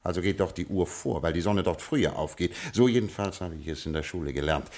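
Very low voice, posh lord turned gangster, dry ironic drawl, old radio play. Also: male voice, quick pace, darkish tone. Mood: menacing irony. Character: cool aristocratic British lord turned gangster boss. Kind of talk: 1950s radio crime play acting.

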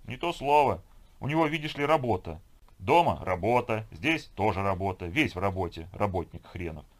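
A man's voice: lowish voice, lively intonation, fairly quick. A man voicing a brash, irritated glamorous young woman who complains with scornful emphasis.

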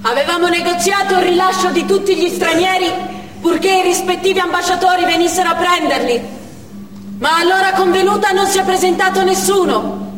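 Stern chechen militant woman telling the siege, high voice, far off in a noisy echoey theatre. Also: a bit bright. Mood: hard, declamatory. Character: stern Chechen female hostage-taker narrating the siege. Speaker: stage actress. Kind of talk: dramatic stage monologue in Italian theatre.

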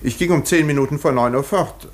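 A man's voice: mid-range voice, fairly quick, natural voice. Man playing a insistent doctor, roomy sound. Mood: grave, clipped. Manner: clipped, insistent, commanding. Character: urgent, educated male English country doctor. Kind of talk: 1950s German radio play acting. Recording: roomy, hissy 1950s radio recording.

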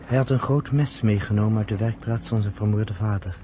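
An actor playing a sombre storyteller in a radio drama, narrating a grim family history in a measured, solemn way. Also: male voice, on the low side, lightly acted. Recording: slightly noisy old radio play recording.

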